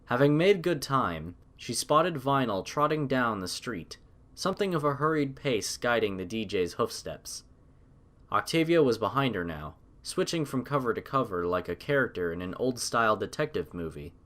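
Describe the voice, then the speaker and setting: Expressive male story narrator. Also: mid-range voice, moderate pace.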